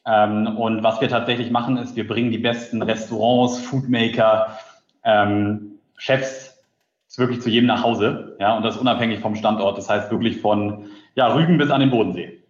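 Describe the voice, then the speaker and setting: A man's voice: lowish voice, steady tone, moderate pace, echoey room, lo-fi sound. A man in his late twenties from Berlin, a startup co-founder, answering interview questions with many fillers. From Berlin, Germany. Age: late 20s. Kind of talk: casual interview.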